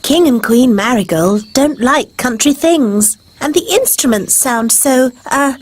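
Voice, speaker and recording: A British woman voicing a refined, gently fretful fairy queen and mother in a children's cartoon. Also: high-pitched, lively intonation, unhurried, breathy edge, heavily acted. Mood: doubtful.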